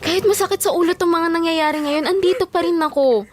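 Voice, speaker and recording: Young office worker, very high voice, Filipino accent, flustered, exasperated, emotional audio drama delivery. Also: female voice, quick pace. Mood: concerned, insistent. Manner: pleading, emotional, indignant.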